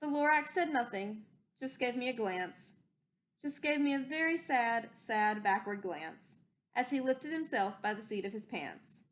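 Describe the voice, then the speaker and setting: Woman, high-pitched, expressive storybook read-aloud, deliberate dramatic emphasis, rhyming narration. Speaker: teacher reading aloud.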